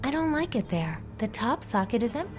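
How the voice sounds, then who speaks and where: A woman's voice: a little high, very animated, moderate pace. An actress playing a plucky, curious teenage baker girl in a video game, breezy and casual. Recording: game music behind the voice. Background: game background music.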